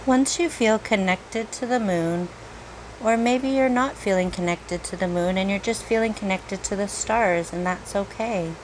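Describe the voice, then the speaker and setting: Slow-paced woman guiding a meditation. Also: mid-range voice, a little hiss or hum, rough recording. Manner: gentle, unhurried, with long pauses. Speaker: meditation guide, reiki teacher. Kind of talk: guided meditation, slow soothing narration.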